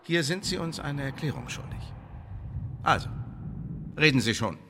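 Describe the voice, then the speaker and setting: A man's voice: on the high side, lively intonation, normal speed. An actor playing a stern, haughty English spymaster in a German radio play, interrogating his agent in pompous, imperious officialese. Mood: demanding, stern. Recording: reverberant hall, noisy background.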